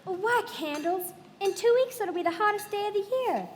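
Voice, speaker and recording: Very high-voiced, gushing socialite. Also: female voice, animated. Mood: affected, playful. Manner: gushing, drawn-out, affected. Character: breathless, theatrical, languid young socialite wife. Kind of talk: live radio play acting. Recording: echoey hall with faint noise.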